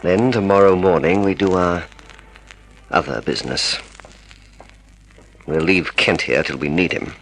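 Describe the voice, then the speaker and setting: An actor playing a possessive, gloating criminal schemer with a menacing manner, in 1950s radio drama style. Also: male voice, low voice, unhurried, pauses often, noisy background. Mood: coldly menacing. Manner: menacing, forceful. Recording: old radio drama recording with music.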